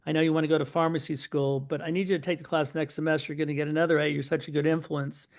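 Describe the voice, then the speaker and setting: A middle-aged man, his voice sitting a touch high for a man. He tells long family anecdotes in a lively, rambling way, stacking one aside on another. His pitch rises and falls a lot as he talks. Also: very fast. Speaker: podcast guest, son of Holocaust survivors. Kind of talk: storytelling in an interview.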